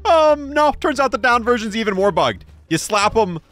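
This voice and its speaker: Bright-voiced, animated man commenting on a game. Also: extremely high pitch, moderate pace. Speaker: gaming YouTuber reviewing game content. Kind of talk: casual gameplay commentary.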